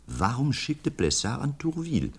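An actor playing a sly, inquisitive middle-aged doctor in a radio crime drama, suave, ironic and probing. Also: male voice, medium pitch, wildly swooping pitch, normal speed, slightly rough, heavily acted. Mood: musing, sly. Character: sly, needling, inquisitive doctor who plays sleuth.

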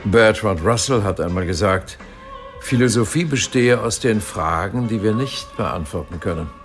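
Creaky, donnish philosophy professor. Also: male voice, lowish voice, animated, faint music behind. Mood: courteous, curious. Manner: donnish, deliberate, wryly amused. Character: erudite, fascinated male Cambridge philosophy professor. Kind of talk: radio drama dialogue.

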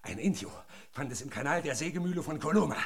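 An actor in a German radio drama playing a sly, greedy, aging foreman, rough-spoken, taunting and insistent. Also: a little high, expressive, quick pace. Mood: furtive, conspiratorial.

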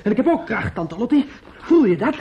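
A man acting a bitter, menacing nephew in a radio play, halting and repetitive, sneering and insistent. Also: very high voice, sing-song, slight background noise, full character voice. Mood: agitated, forceful. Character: bitter, menacing, grief-stricken nephew taunting his aunt. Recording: muffled, dull old radio recording.

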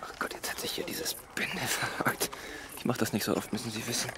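An actor playing a probing, somewhat hesitant magazine reporter in a radio play. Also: male voice, medium pitch, fairly even intonation, brisk, in a whisper, lightly acted. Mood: insistent.

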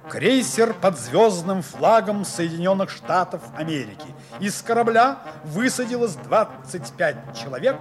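A man giving dramatic, mock-solemn scripted narration for a 1960s Soviet radio play. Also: a little high. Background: music.

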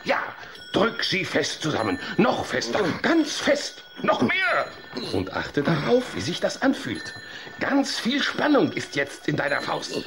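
A man's voice: a little high, a little slow, creaky, crowd noise, full character voice. A man playing an earnest, fussy psychologist who lectures and coaxes patiently in a German children's audio drama. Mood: coaxing instruction. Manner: didactic, expressive, coaxing.

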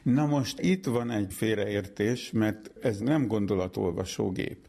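A man's voice: on the low side, creaky, warm tone. A middle-aged man, a psychologist, patiently explaining his research in an interview and correcting misconceptions step by step. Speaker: psychologist guest.

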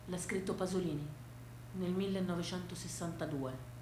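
Narratrice teatrale in una sala molto riecheggiante. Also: female voice, lowish voice, fairly even intonation, moderate pace. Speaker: stage storyteller and actress. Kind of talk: theatrical scripted storytelling. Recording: very echoey room, faint background noise.

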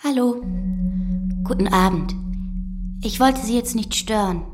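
A woman's voice: medium pitch, measured pace, lightly acted. An actress playing a curious, dreamy young girl who speaks frankly and simply in a German radio play. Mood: shy, wondering.

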